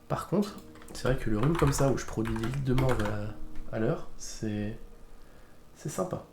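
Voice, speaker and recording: A man's voice: medium pitch, measured pace, roomy sound, noisy background. Stuffy-nosed man rambling on a live stream. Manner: rambling, hesitant, with many fillers. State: congested with a cold. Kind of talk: casual live-stream chat.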